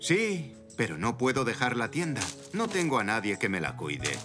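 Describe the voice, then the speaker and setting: A man voicing a downcast, kindly zebra shopkeeper father in a children's cartoon, gentle and apologetic. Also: medium pitch, big pitch swings, moderate pace, over loud music, natural voice. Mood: regretful.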